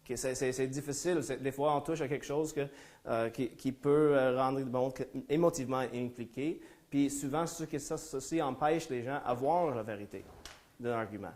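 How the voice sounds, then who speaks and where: A man's voice: mid-range voice, moderate pace, a little mellow. A man from Ontario whose first language is English, giving a live conference talk in French with hesitant, self-deprecating humor and many fillers and restarts. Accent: English-speaking Ontarian speaking French. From Ontario, Canada. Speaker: lecturer. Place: lecture room before a small audience.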